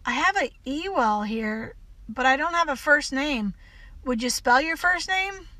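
Woman acting a prim, high-voiced schoolteacher. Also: animated, a little slow, bright, forceful tone, no echo, exaggerated voice. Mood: prim, polite. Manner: proper, fussy, hesitant.

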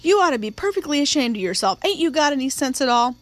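Brief: stern housekeeper, voiced by a woman, high and sharp, scolding the children. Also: big pitch swings, fast talker, no room sound. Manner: scolding, indignant.

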